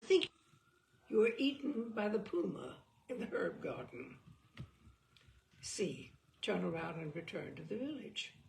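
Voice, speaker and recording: A woman reading a book aloud as a storyteller, theatrical and expressive, with a wry, arch delivery. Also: on the low side, a little slow, vocal fry, long pauses, a little hiss or hum, poor audio.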